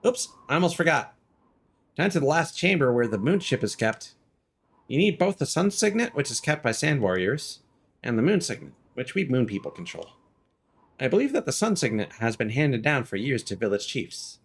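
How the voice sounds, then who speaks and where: A man's voice: mid-range voice, big pitch swings, moderate pace, creaky, breathy edge, pitched above the performer's own voice, heavily acted. A man voicing a chirpy, chatty, apologetic moon villager while reading fantasy game dialogue aloud. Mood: chirpy, explanatory.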